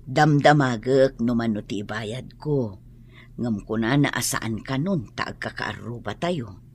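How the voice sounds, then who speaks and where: A woman's voice: a bass voice, expressive, measured pace, darkish tone, a little hiss or hum, full character voice. A woman playing an aching, complaining mother with a Filipino Ilocano accent in a comic radio drama, plaintive and given to exclaiming. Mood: relieved, grateful. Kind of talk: radio drama comedy acting.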